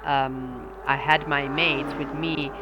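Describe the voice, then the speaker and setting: A man with an Italian accent who speaks English as a second language, hosting a sim racing stream and chatting hesitantly with viewers, with many pauses and restarts. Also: fairly high pitch, flat delivery. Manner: hesitant, lots of ums and restarts, self-deprecating. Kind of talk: casual livestream chat, answering viewer comments. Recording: loud racing-game engine noise behind voice. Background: sim race car engines.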